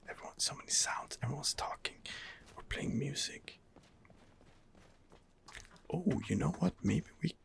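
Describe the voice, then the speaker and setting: A man giving hushed ASMR game commentary in a breathy whisper, musing hesitantly to himself as he plays. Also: mid-range voice. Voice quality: breathy whisper with gravelly creak. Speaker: gameplay host.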